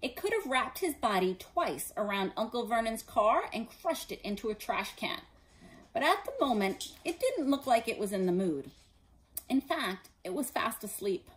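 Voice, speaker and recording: A woman in her forties reads a storybook aloud for children. Her voice is fairly high and very lively, moving up and down expressively through the narration. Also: moderate pace, rough recording. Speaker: storybook reader. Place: living room indoors.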